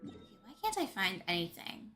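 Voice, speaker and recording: A woman streams a game with casual, chatty commentary, thinking out loud as she goes. Her voice is bright and forward, with lively swings in pitch. Faint noise sits behind her. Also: a little slow. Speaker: live streamer.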